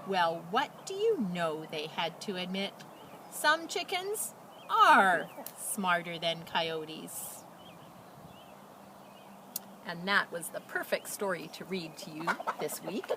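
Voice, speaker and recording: A woman reading aloud as a children's storyteller, with expressive, dramatic emphasis and casual delivery. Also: lowish voice, noisy background. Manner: animated, dramatic emphasis and drawn-out words.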